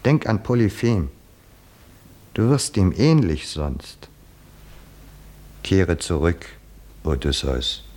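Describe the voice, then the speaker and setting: Radio drama: stern, mocking inner mentor; low, husky voice, widely swinging intonation, insistent rhetorical admonishing. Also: male voice, very animated, slow, long pauses, a little mellow, exaggerated voice. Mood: admonishing, urgent. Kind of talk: 1950s German radio play acting.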